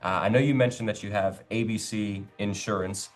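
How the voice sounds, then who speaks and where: A man with a fairly low voice talks in a sales-training style. Light music plays underneath as he explains and persuades. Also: steady tone, a little fast. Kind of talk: instructional talk and sales role-play.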